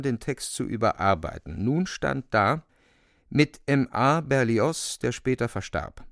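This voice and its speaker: A man narrating a literary radio play in a deliberate, expressive storytelling manner, close to the microphone. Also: medium pitch, vocal fry, dry recording.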